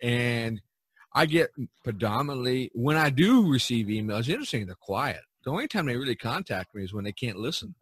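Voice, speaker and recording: Male podcast host, mid-pitched, rambling and halting storyteller in casual conversation, dry close sound. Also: big pitch swings, husky, no room sound. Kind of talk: casual chat.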